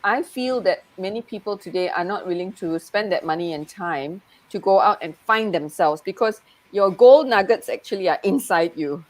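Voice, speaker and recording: A woman's voice: medium pitch, animated, moderate pace, low-quality recording. Malaysian-raised woman, reflective and chatty. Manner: reflective, with frequent "you know". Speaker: NLP coach. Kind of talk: casual conversation.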